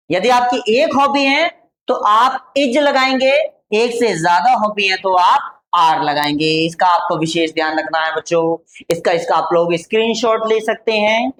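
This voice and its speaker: Slow-dictating Indian woman teacher. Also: medium pitch, fairly bright tone, slight background noise. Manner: slow, drawn-out dictation of model answers, repeating each phrase. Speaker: English teacher. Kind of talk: spoken English lesson for Hindi-speaking students.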